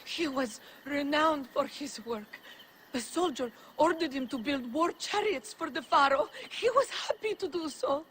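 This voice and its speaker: A woman's voice: high voice, lively intonation, a little slow, a bit bright, slight background noise. A woman playing a grieving, dignified ancient Egyptian widow in a video game, pleading in formal, emotional speech. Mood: aggrieved, pleading. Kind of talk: video game cutscene acting.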